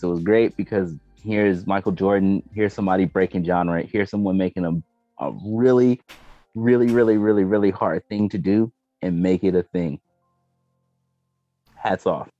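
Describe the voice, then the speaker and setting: American man, fairly low voice, podcast host, emphatic, profane, slangy, muffled lo-fi sound, faint background music. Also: warm tone. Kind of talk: casual opinionated chat about games and movies. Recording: dull lo-fi roomy audio, faint music behind.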